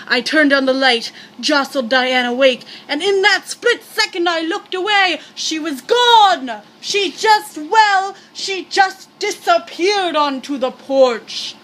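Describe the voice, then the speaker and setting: A woman with a high, bright voice reads a spooky tale aloud at an unhurried pace. She projects theatrically and playfully, halting here and there. There is clear background noise. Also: poor audio. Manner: projecting loudly. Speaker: storyteller reading aloud. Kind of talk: dramatic amateur read-aloud of a scary story.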